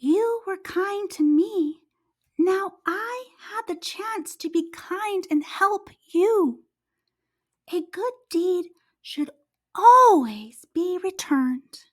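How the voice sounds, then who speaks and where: A woman voicing a tiny, timid mother mouse in a children's storybook, with a squeaky, whimpering, earnest voice. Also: expressive, drawn-out words, exaggerated voice. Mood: kind, earnest. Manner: pleading, earnest. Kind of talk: children's storybook read-aloud.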